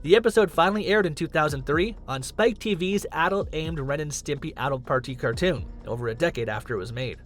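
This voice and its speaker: A man's voice: fairly high pitch, brisk, hardly any pauses. A man reading scripted countdown narration as the host of a list video. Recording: music under the voice. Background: background music.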